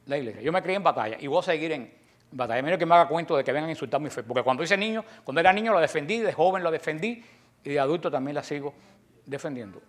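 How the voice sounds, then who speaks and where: A man's voice: lively intonation, very fast. Fiery Cuban man preaching to the faithful. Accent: Cuban Spanish. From Cuba. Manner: forceful, preachy, emphatic with sudden drops to a low voice, joking asides. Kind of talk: impassioned religious talk.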